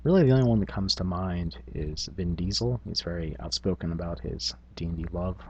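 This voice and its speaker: A man's voice: sits low, a little fast, a little mellow, a little hiss or hum. A middle-aged man talking informally and reflectively as a channel host, hesitating and thinking aloud as he goes. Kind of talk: casual reflective vlog on tabletop gaming.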